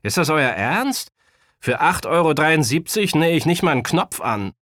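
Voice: mid-range voice, very animated, normal speed, fairly bright tone, dry recording, studio quality, pitched above the performer's own voice. A storyteller voicing a businesslike twelve-year-old boy tailor in a children's audiobook. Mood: sceptical.

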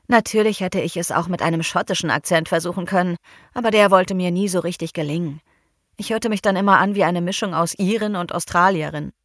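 Woman reading a novel out loud, low-ish voice, calm and even, dry close sound. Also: no room sound. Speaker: audiobook narrator. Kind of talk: first-person novel narration.

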